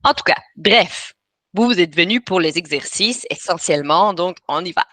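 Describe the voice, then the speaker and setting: A woman in her thirties with a Belgian French accent, hosting a webinar for aspiring authors. She talks in quick bursts with lots of fillers and restarts, and goes soft and slow when she guides a visualization. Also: lowish voice, expressive, dry recording. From Belgium (Brussels). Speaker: writing coach and webinar host. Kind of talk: live webinar presentation. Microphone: video call.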